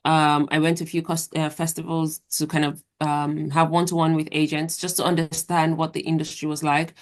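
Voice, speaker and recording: A young adult woman, a guest author, answering interview questions in a measured, thoughtful way. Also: low-pitched, little pitch movement.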